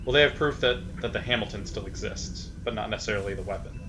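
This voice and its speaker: A man's voice: fairly low pitch, fast, sharp tone, low-quality recording. A man talking casually as a player in a tabletop role-playing game. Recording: faint background noise.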